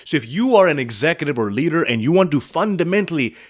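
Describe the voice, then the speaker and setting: Male speech coach, expressive pitch, direct rhetorical coaching delivery. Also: fast. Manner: animated, asks the viewer rhetorical questions. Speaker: speaking coach. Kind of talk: coaching commentary on a video.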